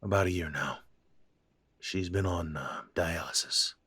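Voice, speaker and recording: A man playing a blunt, weary aging father who sighs and speaks slowly. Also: low voice, fairly bright tone, breathy edge, exaggerated voice. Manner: blunt, sighing, slow-spoken.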